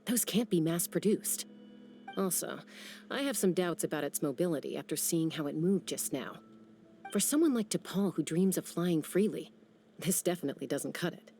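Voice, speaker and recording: Brisk, level-headed game heroine, low and mellow. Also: female voice, a little hiss or hum. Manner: calm, matter-of-fact. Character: steady young female adventurer, practical and brisk. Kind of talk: video game dialogue.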